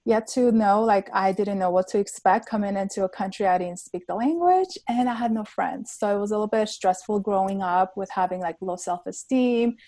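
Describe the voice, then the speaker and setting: A woman's voice: medium pitch, a little fast, few pauses, warm tone, no room sound. A woman in her thirties from Armenia, telling her story earnestly as a podcast guest with many ums and likes. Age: 30s. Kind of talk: casual interview talk.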